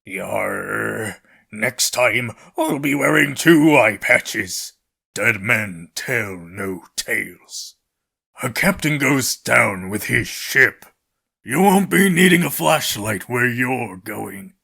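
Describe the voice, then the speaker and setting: Gravelly old pirate fox. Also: male voice, medium pitch, expressive, takes it slowly, bright, forceful tone, heavily acted. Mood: menacing, theatrical. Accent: stage pirate. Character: menacing old pirate fox animatronic, grimly theatrical. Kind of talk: video game character voice lines.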